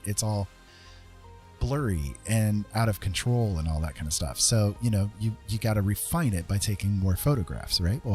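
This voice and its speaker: Low-voiced man rambling through an art tutorial. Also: a little mellow. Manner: rambling, informal, trailing tag questions. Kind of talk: casual drawing tutorial, thinking aloud.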